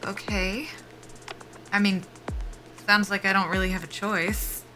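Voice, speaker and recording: A young woman soldier who sounds desperate and self-mocking. Her voice is bright and forceful, with nervous hesitations and a dry, wry edge. It is natural audio drama acting over faint music. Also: medium pitch, fairly even intonation, unhurried. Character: anxious, self-deprecating, foul-mouthed young woman soldier, desperate for work.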